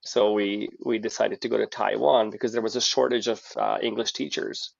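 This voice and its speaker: A man's voice: lowish voice, level tone, brisk, gravelly, no room sound. A middle-aged man from Hungary, chatting as a guest on a podcast and telling long stories with lots of restarts. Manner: chatty storyteller with frequent restarts. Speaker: guest, company founder. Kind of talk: casual podcast interview. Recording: dull lo-fi dry audio.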